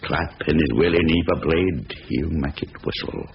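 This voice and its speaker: A man's voice: extremely low pitch, moderate pace. A man acting a fussy, pedantic middle-aged bank manager in a 1970s radio drama, officious and given to repeating his phrases. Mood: declaiming. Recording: dull, faintly noisy 1970s radio recording.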